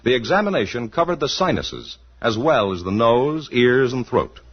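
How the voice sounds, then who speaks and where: A man's voice: medium pitch, big pitch swings, moderate pace, breathy edge. A man with an American accent formally and emphatically reading a scripted 1950s radio advertisement. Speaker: radio announcer. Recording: muffled vintage radio recording.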